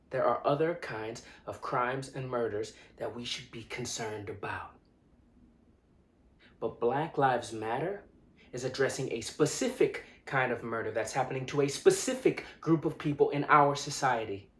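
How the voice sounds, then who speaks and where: An actor playing an earnest, indignant young man who confronts strangers in a dramatic monologue, speaking deliberately with hesitant pauses and insistence. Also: medium pitch, lightly acted. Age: young adult. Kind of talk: dramatic monologue, conversational direct address. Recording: echoey room.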